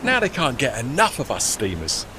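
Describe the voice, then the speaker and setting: A man's voice: medium pitch, huge pitch swings, normal speed. Man as working-class tugboat, radio play, cheeky, proud, folksy, bouncy intonation, slight background noise. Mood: cheeky pride. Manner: colloquial, folksy, non-standard grammar. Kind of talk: audio drama acting.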